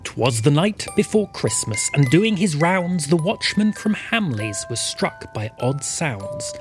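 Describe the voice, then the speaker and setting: A man's voice: fairly high pitch, very animated, takes it slowly, music in the background. A man hosting an audio fiction podcast, delivering scripted narration as a storyteller.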